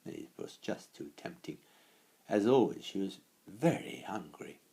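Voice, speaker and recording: A man with a deep, breathy-husky voice reads a story aloud. His narration is expressive and deliberate, broken by dramatic pauses. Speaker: author reading own story.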